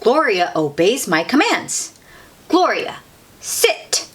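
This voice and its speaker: A woman voicing an earnest male police officer in a children's storybook, reciting safety tips in a deliberate, emphatic, instructive way. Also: a little high, sing-song, a bit bright, husky, heavily acted. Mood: announcing. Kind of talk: children's storybook character reading.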